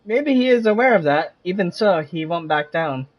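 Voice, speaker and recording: An actor playing an earnest, firm young man in a video game, with a husky voice. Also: sits high, animated, moderate pace, lo-fi sound. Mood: insistent.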